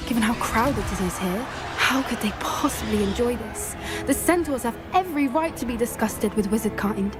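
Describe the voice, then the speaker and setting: Woman acting a high-voiced, cautious young witch. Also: expressive, moderate pace, music in the background, natural voice. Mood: disgusted, earnest. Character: cautious, observant young witch, loyal companion. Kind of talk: video game cinematic acting.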